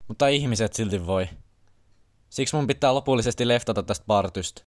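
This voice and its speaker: A man's voice: lowish voice, natural voice. A man voicing a slangy, casual young human in an amateur cartoon parody dub. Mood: casual, sincere. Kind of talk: amateur comedy fandub.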